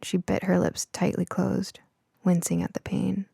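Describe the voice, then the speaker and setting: A woman reading scripted first-person story narration for an audiobook in an expressive storytelling manner. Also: fairly low pitch, measured pace, vocal fry, husky, dry recording, studio quality.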